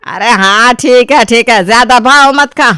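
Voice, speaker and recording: A woman's voice: fairly high pitch, a little slow, pushed and bright, clean tone, no room sound, studio quality. A woman playing a bossy, nagging older mother-in-law who scolds and demands in a dramatized moral story. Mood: irritated. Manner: scolding, demanding, rustic turns of phrase.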